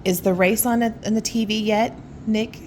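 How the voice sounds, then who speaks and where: A woman's voice: mid-range voice, fairly even intonation, moderate pace, slight background noise, lightly acted. A woman voicing an indignant, argumentative grown-up relative squabbling in a children's storybook. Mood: irritated, arguing. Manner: argumentative, snappy.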